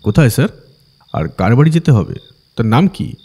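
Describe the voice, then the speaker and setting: An actor playing a frightened village man who haltingly recounts a night of terror in a horror audio story. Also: mid-range voice, very animated, dark tone. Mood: questioning. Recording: long hall-like reverb.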